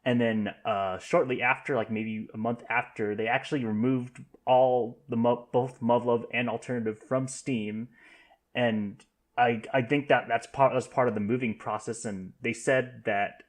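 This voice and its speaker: A man hosting a podcast, casually going through news in a halting way, often correcting himself. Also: mid-range voice, steady tone. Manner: halting, self-correcting delivery. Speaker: podcast host.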